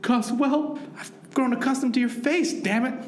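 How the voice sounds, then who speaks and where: A man's voice: very high-pitched, moderate pace. An actor playing an earnest, melodramatic hero who narrates his own adventure in an overwrought, theatrical way in a parody radio drama. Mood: anguished. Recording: roomy echo, music underneath.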